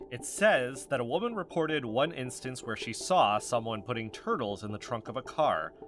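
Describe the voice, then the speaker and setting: An actor playing an eager, suspicious amateur investigator in a podcast drama. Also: male voice, medium pitch, a bit bright, natural voice. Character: eager, conspiracy-minded, nervous male amateur podcast investigator. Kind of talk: audio drama podcast dialogue.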